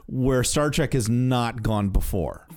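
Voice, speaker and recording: Mid-pitched man chatting on a podcast. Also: takes it slowly, a little mellow. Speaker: podcast co-host. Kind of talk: casual opinionated chat.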